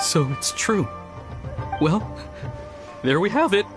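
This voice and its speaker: A man's voice: sits high, sing-song, slow pace, full character voice. An actor playing a resigned, downhearted young man in a video game. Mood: dejected, resigned. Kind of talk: scripted video game dialogue. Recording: prominent music behind.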